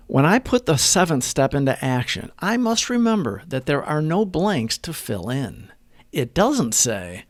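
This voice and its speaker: A man reading a scripted daily meditation and reflection in an earnest, measured manner. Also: a little high, no echo, clean studio sound.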